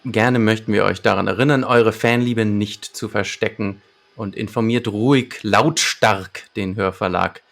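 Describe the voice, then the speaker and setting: A man's voice: fairly low pitch, steady tone, a little slow, creaky, fairly bright tone. A man co-hosting a podcast, presenting and discussing an audio drama episode. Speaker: podcast co-host. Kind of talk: scripted episode summary and discussion.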